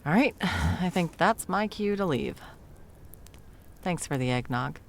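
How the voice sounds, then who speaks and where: A woman playing a sarcastic, self-doubting, hesitant Christmas elf in an intimate ASMR audio roleplay, with a creaky voice. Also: on the low side, animated, slow pace, a little hiss or hum. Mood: soft, rueful.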